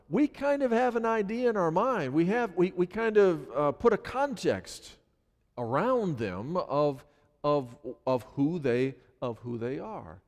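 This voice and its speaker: A man preaching a sermon in a church, lively and earnest, often restarting his phrases. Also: fairly high pitch. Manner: animated, frequent repetitions and restarts. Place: church sanctuary. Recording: long hall reverb.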